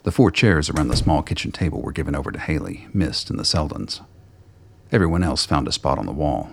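Dude narrating a radio drama, super deep voice, husky with lots of fry, reading from a script. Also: male voice, animated, brisk, noisy background. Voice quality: very deep, gravelly.

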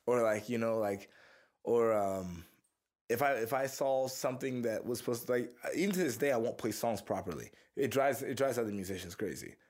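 A man's voice: medium pitch, brisk. A young man from the Houston area, talking casually and profanely as a musician being interviewed, rambling through stories. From Houston, Texas area. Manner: relaxed, profane, rambling storyteller. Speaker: musician guest.

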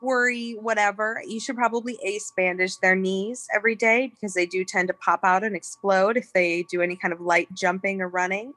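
A woman's voice: medium pitch, fairly even intonation, fairly quick, hardly any pauses, fairly bright tone, dry recording, pitched below the performer's own voice, natural voice. A woman playing a careless, matter-of-fact dog breeder giving grim news offhandedly in improvised comedy. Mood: offhand.

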